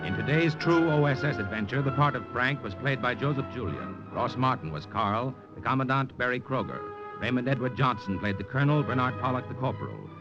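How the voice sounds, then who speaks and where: A man's voice: medium pitch, expressive, a little fast, hardly any pauses. Male vintage radio announcer, muffled. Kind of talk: 1940s radio announcing, scripted credits. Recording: dull vintage radio broadcast, music behind.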